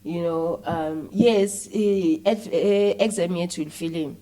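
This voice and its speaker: South African woman, lower-pitched, explaining casually. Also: a little slow, dark tone, poor audio. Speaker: interview guest, actress and filmmaker. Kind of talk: casual conversational interview.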